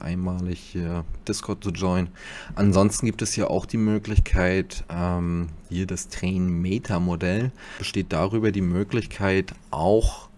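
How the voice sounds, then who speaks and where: Guy with a really low voice doing a laid back software walkthrough, bit hesitant, step by step. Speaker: YouTube tutorial host. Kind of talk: casual software tutorial voice-over.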